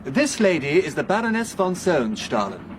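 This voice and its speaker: An actor playing a stiff, pompous, coldly exasperated professor in a radio drama, speaking very formally. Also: male voice, a little high, big pitch swings, moderate pace, background noise.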